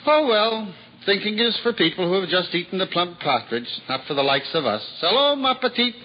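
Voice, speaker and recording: An actor playing a weary, hungry old wandering juggler with a lilt, in a 1950s radio play, wistful and self-mocking. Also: male voice, sits high, animated, brisk. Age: older. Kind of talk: 1950s radio drama acting. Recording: dull old radio broadcast with crowd noise.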